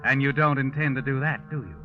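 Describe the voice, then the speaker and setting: A man's voice: mid-range voice, fast talker, close to an everyday voice. Guy acting as creepy all-knowing american narrator, old time radio, taunting, dull sound w music. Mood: knowing, ominous. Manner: insinuating, rhetorical, dramatic. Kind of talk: 1940s radio mystery acting, second-person narration.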